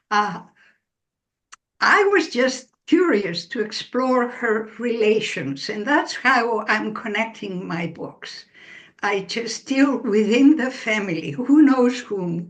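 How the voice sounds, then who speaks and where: A woman author speaking in a slow, measured way in an interview, pausing as she explains. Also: a little high, expressive. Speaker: author guest. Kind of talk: relaxed interview answers.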